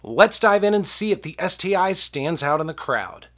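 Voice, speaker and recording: A young man talks through a product review in a casual, chatty way, calling his viewers "guys." His voice sits a touch high and sounds stuffed up from a cold. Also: lively intonation, normal speed, fairly bright tone. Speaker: gun review channel host.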